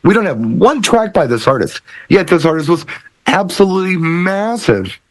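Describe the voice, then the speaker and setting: A man's voice: high-pitched, sing-song, moderate pace, no echo. A man hosting a talk show in casual panel chat.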